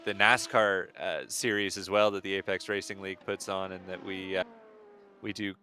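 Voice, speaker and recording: A man commentating a sim race on a live stream, friendly and halting, with many fillers as he runs down the standings. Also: low-pitched, flat delivery, pushed and bright, slight background noise.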